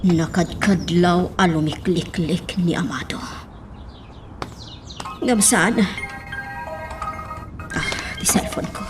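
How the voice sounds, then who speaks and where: A woman's voice: fairly low pitch, slow, long pauses, faint music behind. An actress playing a troubled middle-aged woman in a radio drama, probing, with a Filipino accent. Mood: musing.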